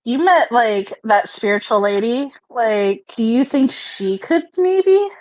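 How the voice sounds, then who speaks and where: Woman rpg player, kinda high voice, earnest n tentative, muffled lofi mic. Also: expressive, takes it slowly, a little mellow. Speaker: tabletop game player. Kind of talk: casual in-character discussion.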